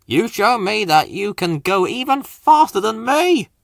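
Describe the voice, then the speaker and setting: A man's voice: high voice, very animated, unhurried, creaky, no room sound, clean studio sound, voice pushed up, full character voice. A man voicing a proud, smug male helicopter in a children's storybook, talking in a boastful way. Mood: conceding.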